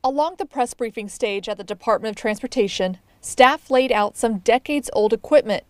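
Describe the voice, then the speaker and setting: A woman's voice: fairly high pitch, a little fast. A woman reporter reading scripted narration for a television news package.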